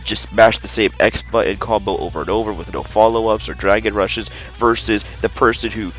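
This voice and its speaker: A young man with a cold, sounding nasal, giving a casual, energetic video game review in gamer slang. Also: fairly low pitch, fairly quick, faint music behind. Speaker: gaming YouTuber.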